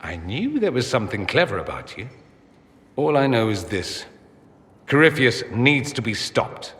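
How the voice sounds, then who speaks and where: Casting: witty, self-admiring game mage, theatrical and highly expressive, in a reverberant hall. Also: male voice, unhurried. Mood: earnest, wry. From Tevinter, a fantasy empire. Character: suave, vain, witty gentleman mage from a decadent empire. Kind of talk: dramatic video game acting.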